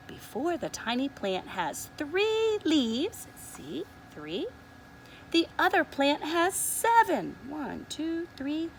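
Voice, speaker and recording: A woman preschool teacher reading a picture book aloud to young children in an expressive, deliberate way, with softer asides inviting them to look. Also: sits high, a little hiss or hum. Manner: expressive, deliberate, often pausing to ask the listener to look.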